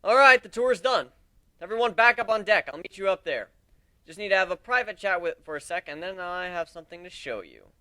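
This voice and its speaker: A man voicing a brash, cocky young griffin captain in a dramatic story reading, with a sarcastic, excitable manner. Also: high-pitched, fairly quick, no echo, pitched above the performer's own voice. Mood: announcing.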